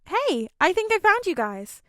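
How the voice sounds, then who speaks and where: A woman's voice: very high voice, sing-song, moderate pace, pushed and bright, no room sound, clean studio sound, pitched above the performer's own voice, heavily acted. A woman voicing a capable, kind but stubborn teenage boy in a storybook reading. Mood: cheery, warm. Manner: focused, switching from stern to cheery.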